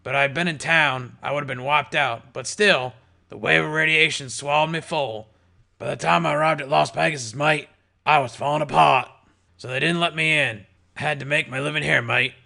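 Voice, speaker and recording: A man's voice: fairly high pitch, steady tone, normal speed, creaky, sharp tone. A man voicing a jovial old male ghoul pony bartender, chummy and calling everyone mate. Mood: chatty. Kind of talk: audiobook character voice.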